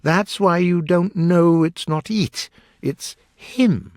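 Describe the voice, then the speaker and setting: A man's voice: sits high, slow, dry recording, clean studio sound, pitched above the performer's own voice. A man voicing an eccentric, mournful male hatter who talks down to people with heavy emphasis in a storybook. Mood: contemptuous.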